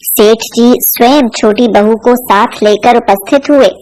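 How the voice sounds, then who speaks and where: Female storyteller for animated fables; high pitch, slow scripted delivery. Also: poor audio. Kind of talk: scripted folk-tale narration for cartoon.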